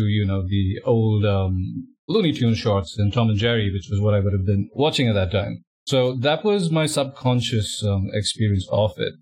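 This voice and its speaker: Chatty, relaxed male podcast guest. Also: fairly low pitch, breathy edge. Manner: relaxed, chatty, self-deprecating. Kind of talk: casual podcast chat.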